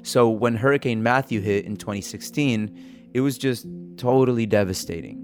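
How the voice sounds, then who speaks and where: A man's voice: fairly low pitch, moderate pace. A man reporting and hosting in a scripted narration style. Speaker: podcast host and reporter. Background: music bed.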